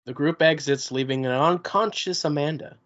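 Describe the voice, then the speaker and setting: A man reading the stage directions aloud in a table read of a play, in a plain, even delivery. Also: on the high side, normal speed. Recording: dull lo-fi recording.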